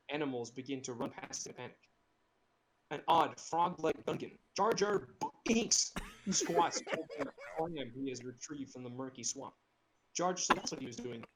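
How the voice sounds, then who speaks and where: A man's voice: medium pitch, a little hiss or hum. A man narrating a script in a casual group read, breaking off for playful, mocking asides, heard through a slightly distorted laptop microphone. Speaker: narrator reading screenplay stage directions. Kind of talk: joking group table read and casual online chat. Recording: distorted laptop mic on online voice chat.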